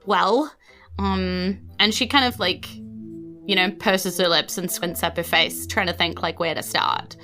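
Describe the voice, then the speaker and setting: A woman's voice: lowish voice, fairly even intonation, measured pace, pushed and bright, soft background music. A woman casually improvising narration as game master of a tabletop game.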